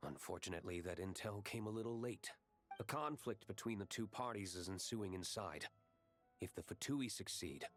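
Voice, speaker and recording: An actor playing a cool, serious young gentleman fighter in a video game, measured, reserved and dryly matter-of-fact. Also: lowish voice, natural voice.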